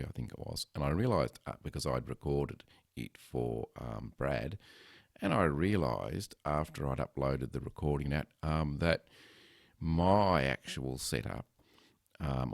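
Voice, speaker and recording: A man who runs a podcast, chatting casually about his recording gear in a loud, resonant voice, rambling with lots of ums and self-corrections into a close headset microphone. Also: a bass voice, vocal fry, no echo. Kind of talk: casual gear talk to camera. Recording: dry, close sound.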